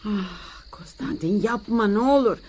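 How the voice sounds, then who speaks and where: A woman's voice: mid-range voice, lively intonation, moderate pace, mellow, lightly acted. A woman playing an anxious, long-suffering mother in a Turkish radio play. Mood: alarmed, pleading. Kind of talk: Turkish radio theater acting.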